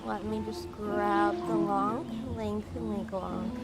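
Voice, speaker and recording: A woman's voice: mid-range voice, fairly even intonation, slow, loud music behind the voice. A woman game streamer giving casual live commentary as she plays.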